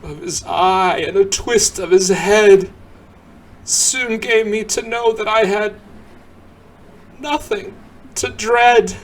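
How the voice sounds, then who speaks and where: A man giving a dramatic recitation of a poem, theatrical, moving between hushed murmurs and booming exclamations. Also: slow, pauses often. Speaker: poem reader.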